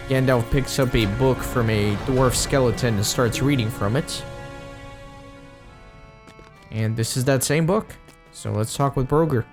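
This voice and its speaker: A man hosting a gaming series, giving easygoing, casual commentary as he plays. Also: medium pitch. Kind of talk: casual let's play commentary.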